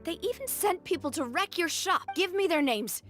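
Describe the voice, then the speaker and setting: A bubbly, fiercely loyal young woman who leads a gang in an anime game. The voice is high and very animated, expressive and emphatic, with music playing behind. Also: moderate pace. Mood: indignant, menacing. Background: game background music.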